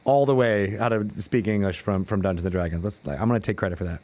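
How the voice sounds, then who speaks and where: A man's voice: on the low side, fairly quick, darkish tone. A man hosting a podcast interview, talking in an enthusiastic, casual way that often restarts its sentences. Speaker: podcast host.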